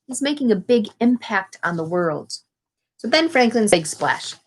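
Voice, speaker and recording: A woman with a mid-range voice reads to young children in the manner of a teacher. She gives the story text a deliberate, expressive delivery and adds plain, simple explanations along the way. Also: medium pitch. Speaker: teacher reading a picture book aloud. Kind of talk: children's read-aloud with explanatory asides.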